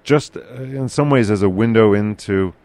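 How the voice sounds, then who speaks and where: A man hosting a casual local podcast chat, with a loud, carrying voice, talking haltingly with many fillers and restarts. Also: lowish voice, no room sound. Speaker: podcast host.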